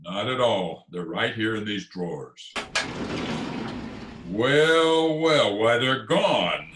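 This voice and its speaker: A man's voice: fairly low pitch, lively intonation, takes it slowly, background noise. A man playing a flustered, pompous middle-aged school principal in old-time radio comedy style, blustering and indignant. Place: indoors, recorded remotely over video call. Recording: roomy remote video-call recording.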